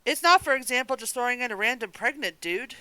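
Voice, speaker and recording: Female panelist, crisp clear voice, dry close sound, emphatic opinions. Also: a little high, lively intonation, fast, sharp tone, dry recording, low-quality recording. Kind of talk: casual chat.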